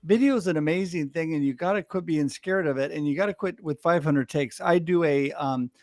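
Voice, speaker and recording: A man hosting a livestream, chatting casually and telling stories with enthusiasm and quick laughs. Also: a little high, no room sound, clean studio sound. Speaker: livestream host. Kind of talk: casual chat.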